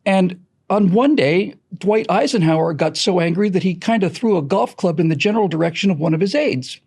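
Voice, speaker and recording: A man telling history with strong opinions in an interview, explaining emphatically and repeating key phrases deliberately. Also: high voice, darkish tone, husky. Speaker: podcast creator being interviewed.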